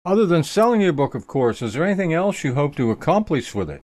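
A man with a fairly high, very lively voice asks an author his questions. His voice sounds dry and close, with no room echo. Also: fast talker. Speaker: interviewer. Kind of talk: asking interview questions.

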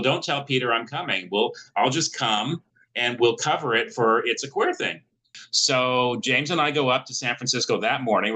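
A man's voice: medium pitch, bright, forceful tone, rough recording. A man co-hosting a podcast, telling a story in casual, rambling chat with many fillers. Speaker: podcast co-host.

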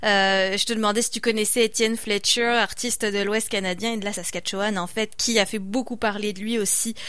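A woman's voice: medium pitch, steady tone, a little fast, a bit bright, clear, resonant tone, no echo, studio quality. A woman hosting an interview, encouraging her guest and affirming with frequent murmurs. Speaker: interview host.

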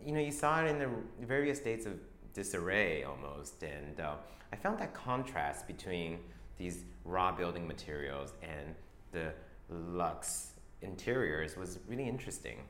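A young man from Taiwan, a fashion designer, talking about his work in a soft, hesitant way full of restarts. His voice sits very low, and the room around him is echoey with a faint hum of ambience. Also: sing-song. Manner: soft-spoken, halting, many restarts and fillers. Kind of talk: interview answers.

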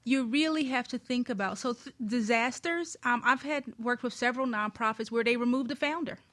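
A woman with a fairly high voice talks as an interview guest and expert. She gives conversational advice, frank and emphatic about her points. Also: a little fast, fairly bright tone.

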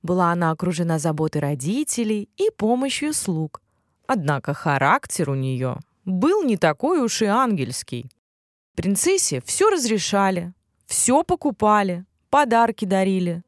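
A woman tells a children's fairy tale as scripted narration. Her medium-pitched voice moves widely up and down with the story. The recording is dry, with no room sound around her. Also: very animated, normal speed, no echo.

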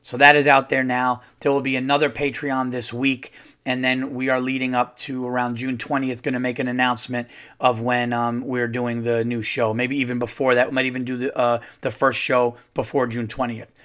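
A middle-aged man, a comedian hosting his own podcast, chatting casually and rambling with lots of fillers, his voice a little hoarse from touring. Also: mid-range voice, monotone, moderate pace, a bit bright. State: slightly hoarse, losing his voice. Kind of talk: casual solo podcast monologue.

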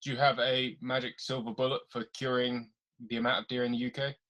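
A man interviewing a guest in a relaxed, friendly way. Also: medium pitch, brisk, low-quality recording. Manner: easygoing, calls guest mate. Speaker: podcast interviewer. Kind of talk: casual interview chat.

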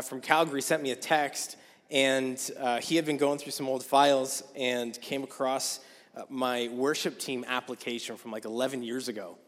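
A man in his thirties preaching a sermon in a casual, chatty way, his voice going hoarse. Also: medium pitch, a bit bright. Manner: casual, conversational, with many fillers. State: losing his voice. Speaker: guest preacher. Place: church sanctuary. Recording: long hall reverb.

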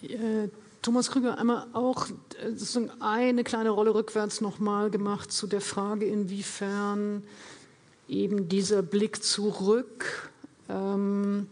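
A woman's voice: mid-range voice, flat delivery, darkish tone. A woman moderating a live panel who turns to a guest by name and speaks with some hesitation. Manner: halting, addresses panelists by name. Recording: reverberant hall.

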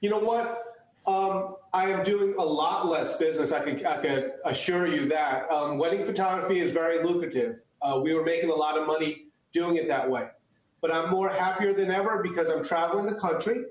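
A man's voice: fairly high pitch, fairly quick, heavy reverb, poor audio. A man, born in the US and raised speaking Chinese first, answering interview questions earnestly with many restarts. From United States. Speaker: guest, photographer.

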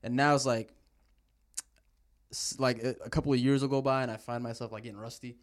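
A man's voice: mid-range voice, fairly even intonation, brisk. A young man, a native Arabic speaker, chatting casually on a podcast in loose, slangy talk full of fillers. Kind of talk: casual chat.

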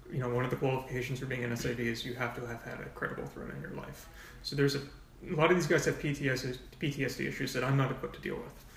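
Fast, gravelly man, echoey and off-mic. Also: mid-range voice, fairly bright tone, distant mic. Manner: frank, explains in long runs with asides. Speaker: nonprofit volunteer coordinator, guest. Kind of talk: casual podcast interview.

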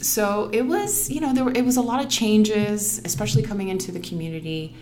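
A woman in her forties from Miami whose first language is Spanish, telling her story as a news anchor guest in a relaxed interview. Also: medium pitch, a little fast. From Miami, Florida. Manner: reflective, storytelling, laughs easily. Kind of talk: casual interview conversation.

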